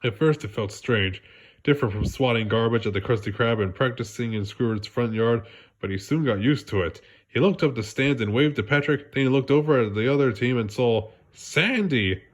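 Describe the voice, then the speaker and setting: A man's voice: mid-range voice, normal speed. A man narrating a storybook read-aloud with a congested, stuffy voice. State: congested, coughing.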